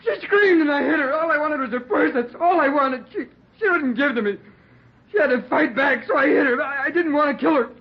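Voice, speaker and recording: A cornered murder suspect on old radio. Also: male voice, very high voice, fairly quick. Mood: sobbing. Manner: sullen, evasive denials. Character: cornered male murder suspect, defensive and evasive. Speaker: suspect in police interrogation. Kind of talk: 1940s radio acting. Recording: dull lo-fi old radio broadcast.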